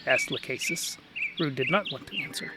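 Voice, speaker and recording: A man's voice: a little high, wildly swooping pitch, normal speed, noisy background. A man narrating a comic fantasy tale in a wry, dry, scripted manner.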